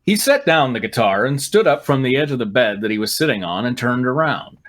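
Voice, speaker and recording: A man's voice: medium pitch, lively intonation, brisk, fairly bright tone. A man reading a story aloud as host and breaking off for sarcastic, irreverent asides. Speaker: host and reader on a fan fiction riffing show.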